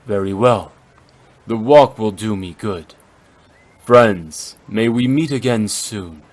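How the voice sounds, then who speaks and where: A man playing a calm, learned, dignified gentleman in a video game, speaking formally and with composure. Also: on the low side, slow pace. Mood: calm, kindly. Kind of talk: measured game voice acting. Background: background music.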